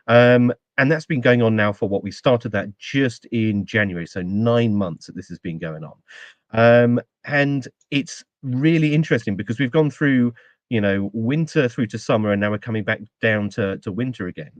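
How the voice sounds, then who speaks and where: A man's voice: lowish voice, no room sound. A man hosting a podcast in casual chat, rambling with many ums and restarts before bringing on a guest. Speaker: podcast host.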